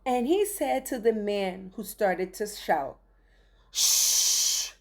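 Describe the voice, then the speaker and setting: A woman reading a rhyming children's story aloud with theatrical, deliberate phrasing. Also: mid-range voice, lively intonation, gravelly, warm tone.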